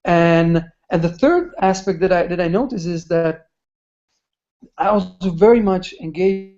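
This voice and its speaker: A man's voice: high-pitched, unhurried, dark tone. A man sharing a personal story on a webinar, hesitant and searching for words. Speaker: webinar participant. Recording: muffled webinar call audio.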